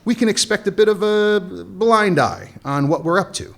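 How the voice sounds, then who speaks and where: A man's voice: high-pitched, moderate pace, gravelly, a bit bright. An actor playing a cocky, scheming male smuggler boss with a sardonic, wisecracking delivery in a comic radio play. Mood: wry.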